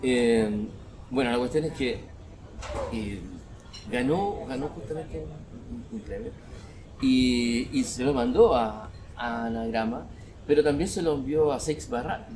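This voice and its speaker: A middle-aged man with a Chilean accent telling stories as a guest in casual conversation, haltingly, circling back over phrases. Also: medium pitch, expressive, background noise.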